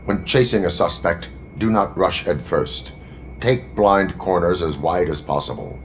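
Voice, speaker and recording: An actor playing a stern, by-the-book cyborg police officer in a video game, speaking in a formal, measured, deadpan way. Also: male voice, low-pitched, a little hiss or hum. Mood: stern, instructive. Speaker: police field training officer.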